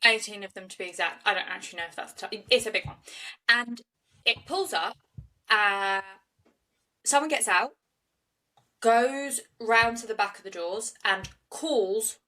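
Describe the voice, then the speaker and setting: A woman's voice: medium pitch, measured pace, bright, forceful tone, recorded from a distance, rough recording. A young woman from South East London with a local accent, co-hosting a true-crime podcast and telling the story in a chatty, jokey way. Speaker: podcast co-host. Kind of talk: casual true-crime chat.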